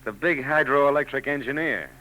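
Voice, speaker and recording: A man's voice: medium pitch, normal speed, sharp tone, natural voice, rough recording. An actor playing a hard-boiled male private eye who narrates and trades wisecracks, in a 1940s radio drama. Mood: sardonic. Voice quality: dry, wisecracking. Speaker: narrator and lead. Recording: old radio broadcast tape with faint noise.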